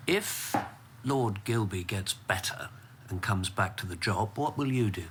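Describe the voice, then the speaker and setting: An actor playing a calm British confidant of a politician in a naturalistic radio drama, brief and probing. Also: male voice, fairly low pitch, a little slow, slight background noise.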